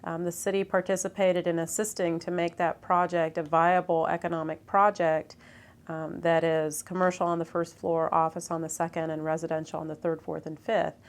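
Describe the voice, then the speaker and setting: Woman local official explaining stuff in an interview, earnest, pretty flat tone. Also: lowish voice, moderate pace. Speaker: city commissioner, interviewee.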